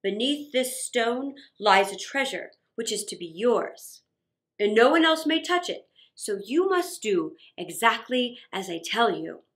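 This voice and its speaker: A woman voicing a cunning, commanding male magician in a children's storybook, speaking slowly and dramatically. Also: very high-pitched, big pitch swings, a bit bright, heavily acted.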